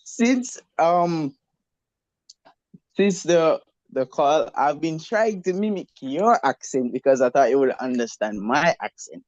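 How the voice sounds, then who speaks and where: A man's voice: a little high, animated, takes it slowly, darkish tone, no echo. A man from Jamaica with a Jamaican accent, a student talking with his tutor over a video call, hesitant and self-correcting. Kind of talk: casual tutoring session. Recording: muffled video call audio.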